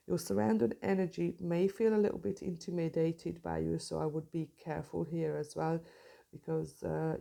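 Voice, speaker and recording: A woman's voice: deep voice, creaky, dark tone. A young woman with a Hungarian accent giving a tarot reading to camera, hesitant, with many fillers and restarts. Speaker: tarot reader.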